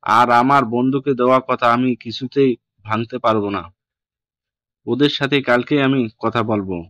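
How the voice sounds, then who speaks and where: A man playing a strict middle-aged father in an audio story, speaking in a firm, measured, unyielding way. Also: mid-range voice, fairly bright tone, no echo, close to an everyday voice. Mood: stern, disappointed. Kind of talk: Bengali audio story acting.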